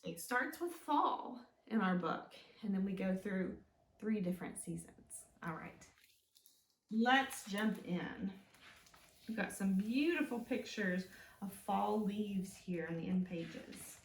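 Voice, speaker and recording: A woman's voice: medium pitch, slow, a little hiss or hum. A woman hosting a children's storytime, reading and explaining in a sing-song way with simple, encouraging questions. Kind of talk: read-aloud and teaching for young children. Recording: echoey room, mic at a distance.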